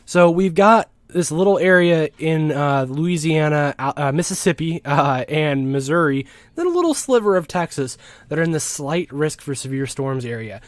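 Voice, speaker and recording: A man with an American accent explains things as an informal weather forecast host, in a halting way with repeated words. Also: a little high, expressive, no room sound. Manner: halting, explanatory, with word repetitions. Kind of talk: informal weather map commentary.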